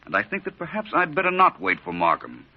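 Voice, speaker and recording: A man playing a shrewd, unruffled gentleman detective in a 1940s radio drama, speaking in a measured, polite, coolly accusing way. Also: fairly high pitch, wildly swooping pitch, full character voice. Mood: composed. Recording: muffled old-time radio recording.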